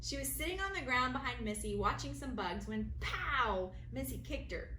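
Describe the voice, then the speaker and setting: A woman teacher reading a children's story aloud with expressive, deliberate storytelling. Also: sits high. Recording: roomy echo, faint background noise.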